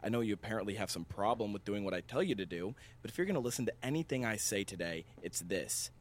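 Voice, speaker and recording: A man's voice: fairly low pitch, animated, fast, slight background noise, natural voice. A man playing a stern, exasperated father in an audio drama, firm and scolding with his young son. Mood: stern, weary.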